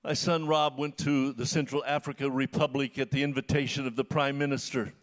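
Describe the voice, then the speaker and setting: An American man in his seventies preaching in short, earnest phrases with emphatic repetition, pausing for an interpreter. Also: mid-range voice, little pitch movement. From United States. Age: 70s. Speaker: missionary preacher, guest speaker.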